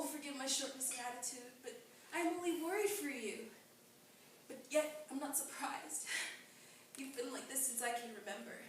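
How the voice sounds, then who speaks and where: A woman's voice: high voice, natural voice. A woman performing a stage monologue as an earnest, chiding dead sister, genteel and old-fashioned, recorded in an echoey room. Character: chiding, mournful dead sister, a small-town lady. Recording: echoey room from far off, noisy.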